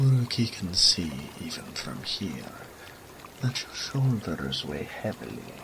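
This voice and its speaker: Man voicing a slow, deep, gravelly suave naga oracle. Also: heavily acted. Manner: speaks of himself in third person, languid, formal, purring. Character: suave, flirtatious male naga oracle, self-assured and teasing. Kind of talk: roleplay audio drama. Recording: steady water and ambience noise.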